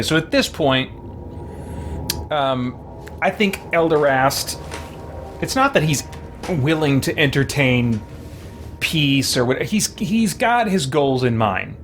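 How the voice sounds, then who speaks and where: A man doing casual, halting game commentary, thinking aloud as he roleplays for a stream. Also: medium pitch. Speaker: gaming streamer.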